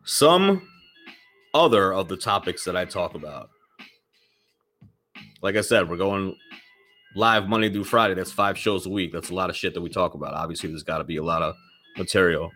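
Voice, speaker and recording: A man's voice: low-pitched, normal speed, pauses often, sharp tone, soft background music. A man hosting his own podcast in loose, rambling casual talk, swearing freely and sounding slightly nasal from a lingering cold. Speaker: podcast host.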